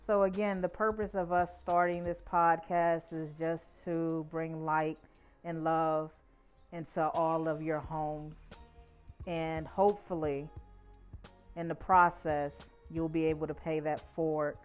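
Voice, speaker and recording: A woman's voice: deep voice, level tone, measured pace, clean tone, soft background music. A woman in her forties hosting a podcast, speaking in an earnest, uplifting way. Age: 40s. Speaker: podcast host. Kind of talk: podcast introduction and conversation.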